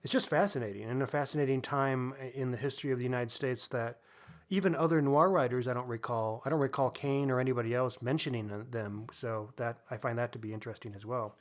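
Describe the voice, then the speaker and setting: Male co-host, average pitch, informal conversational delivery for a book discussion podcast. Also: fairly even intonation, fast.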